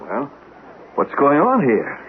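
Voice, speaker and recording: Incredulous old buddy, male, halting, swinging pitch, tinny noisy radio drama recording. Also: wildly swooping pitch. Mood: skeptical. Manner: halting, incredulous, repeats words. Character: skeptical, excitable husband and old buddy of veteran. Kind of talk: radio mystery drama acting.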